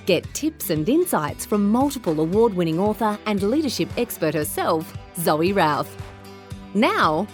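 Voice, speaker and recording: Lively woman announcer over music. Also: mid-range voice, a little slow. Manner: upbeat, promotional delivery. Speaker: show announcer. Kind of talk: scripted show intro.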